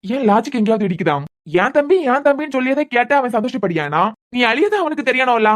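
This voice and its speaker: A woman's voice: a little high, expressive, fast, natural voice. A woman voicing a bitter, hurt young husband, sarcastic and repeating words in anger. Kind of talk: amateur audio drama.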